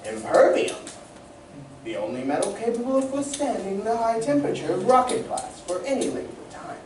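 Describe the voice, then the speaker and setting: Woman acting animated high-pitched scientist, echoey room. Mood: proud, explaining. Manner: theatrical, deliberate, professorial. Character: excitable older scientist doctor, proud and learned inventor. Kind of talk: 1940s radio serial acting. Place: community playhouse stage reading. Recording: distant mic, echoey room, background noise.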